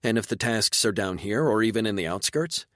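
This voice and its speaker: A man's voice: lowish voice, lively intonation, fairly quick, no room sound, clean studio sound. A man voicing a snappish, foul-mouthed goblin girl who scolds and pesters. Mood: questioning. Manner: sharp, scolding.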